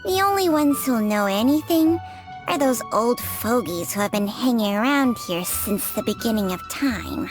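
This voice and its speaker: A woman playing a sharp, blunt young investigator in a video game, with a pointed manner. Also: a little high, lively intonation, normal speed, music in the background, natural voice.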